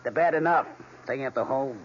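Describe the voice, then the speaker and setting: An actor with an American accent playing a curt, impatient, domineering husband in his forties in a radio drama, with a husky voice. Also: mid-range voice, quick pace, lightly acted. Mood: irritated, scornful. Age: 40s. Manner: curt, dismissive, blunt. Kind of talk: vintage radio drama acting. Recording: dull old radio recording.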